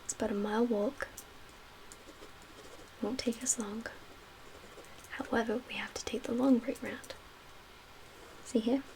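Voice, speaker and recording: A soft, breathy female survivor leader played by a woman in an ASMR zombie-apocalypse roleplay. Her voice sits fairly high and stays hushed, measured and guarded, gently reassuring, with audible noise behind it. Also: steady tone, rough recording. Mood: calm, instructive. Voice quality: soft, breathy, half-whispered.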